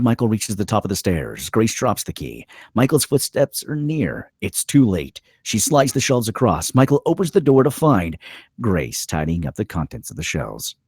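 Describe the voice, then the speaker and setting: A male narrator reads screenplay directions dramatically. His voice is deep and husky, with expressive swings in pitch. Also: no echo, clean studio sound. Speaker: narrator reading stage directions.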